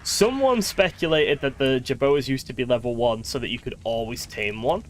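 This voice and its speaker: A man's voice: mid-range voice, expressive, moderate pace, slight background noise. A man giving casual live gameplay commentary as a streamer, chatty and thinking aloud, with wry, sweary grumbling. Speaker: game streamer.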